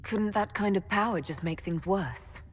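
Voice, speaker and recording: A woman's voice: mid-range voice, normal speed, slightly rough, lightly acted. A woman playing a reserved, questioning young female mage hero in a fantasy game. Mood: guarded, questioning. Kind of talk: fantasy game cutscene acting. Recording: long hall reverb, music behind.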